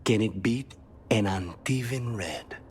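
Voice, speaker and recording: An actor playing a dry-witted, brooding assassin in a fantasy game, understated and deadpan. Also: male voice, fairly low pitch, slow, a little hiss or hum. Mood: wry.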